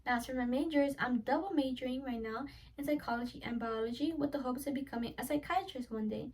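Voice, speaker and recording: A high-pitched eighteen-year-old woman whose first language is Spanish delivers a prepared self-introduction for class. She speaks earnestly and often closes a thought with a quick "so yeah." She sits a little back from the microphone. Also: a little mellow, slightly rough. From United States. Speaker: college student. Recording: voice a little far from the mic.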